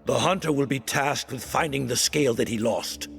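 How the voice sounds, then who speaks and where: An actor playing a solemn, faithful council leader in a fantasy game, formal in delivery, with a gravelly voice. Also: male voice, medium pitch, moderate pace, a bit bright, soft background music. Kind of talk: dramatic fantasy game acting.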